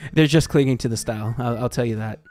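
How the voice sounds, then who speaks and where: An American man livestreaming in casual chat, excitable and sweary, reacting and reading things aloud. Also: mid-range voice, normal speed, faint music behind. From Texas, United States. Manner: excitable, swearing, jumps from muttering to shrieks. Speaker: livestream host.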